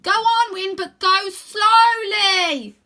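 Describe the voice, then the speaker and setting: A woman's voice: very high voice, steady tone, slow pace, bright, forceful tone, voice pushed up, exaggerated voice. A woman voicing an anxious, earnest young boy in a storybook, speaking urgently. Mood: urgent, determined.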